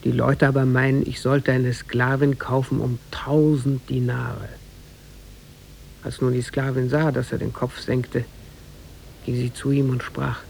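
A man narrating a scripted tale for 1950s German radio in a measured, formal storytelling manner, with a gravelly, husky voice. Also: medium pitch, flat delivery, darkish tone, a little hiss or hum.

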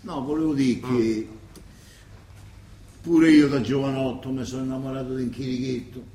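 An actor playing a naive, indiscreet old manservant in a comic radio play, chatty and insistent, with a Roman accent. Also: male voice, mid-range voice, fairly even intonation, moderate pace, mellow, echoey room, slight background noise, natural voice. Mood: confiding. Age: older. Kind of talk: Italian theatrical radio comedy acting.

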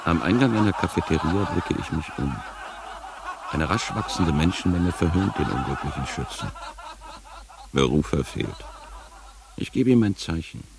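Casting: extremely deep male voice with gravelly creak, world-weary wanderer, dryly ironic poetic radio narration. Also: lively intonation, moderate pace, long pauses, background noise. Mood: scornful, ironic. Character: sardonic, world-weary first-person wanderer and guide. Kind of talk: literary radio play narration.

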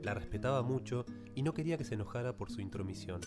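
A man's voice: medium pitch, lively intonation, quick pace. A man narrating a radio drama as a scripted literary story. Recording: plucked guitar music behind the voice.

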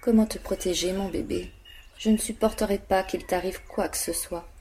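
A woman's voice: medium pitch, steady tone, moderate pace, mellow, a little hiss or hum, lightly acted. A woman playing an anguished, protective young mother in an audio drama, speaking earnestly. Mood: grieving. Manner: earnest, sorrowful. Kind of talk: dramatic monologue in an audio play.

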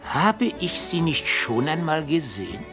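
An actor playing a suave, gallant and evasive swindler with a theatrical manner in a radio play. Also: male voice, on the high side, very animated, unhurried, fairly bright tone, soft background music. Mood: charming. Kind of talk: German radio play dialogue.

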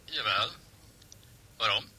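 A man's voice: on the low side, slow pace, pushed and bright, slightly rough, dry recording, heavily acted. An actor playing a cautious, worldly newspaperman in a radio play, speaking informally and warily to a friend. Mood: wary, friendly. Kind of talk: Dutch radio play acting.

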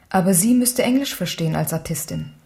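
An actress playing a senior hospital doctor in a German radio crime drama, dry, inquisitive and matter-of-fact. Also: female voice, mid-range voice, lively intonation, moderate pace, mellow, slight background noise, lightly acted. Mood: curious.

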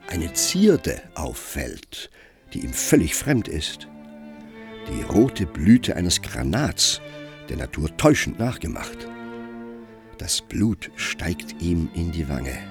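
A man narrating a literary radio drama in a measured, suspenseful storytelling manner. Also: sits low. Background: string music.

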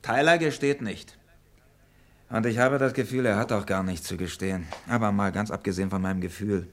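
An actor playing a sardonic, sceptical police investigator in a mid-century German radio crime play, questioning with pointed irony. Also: male voice, lowish voice, fairly quick, a little hiss or hum, close to an everyday voice. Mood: irritated, doubtful.

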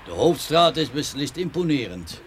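A man's voice: a little high, very animated, moderate pace. A man playing a chatty but authoritative customs officer in a radio drama. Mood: affable, sly. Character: chatty male customs officer turning brusque. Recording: clearly noisy background ambience.

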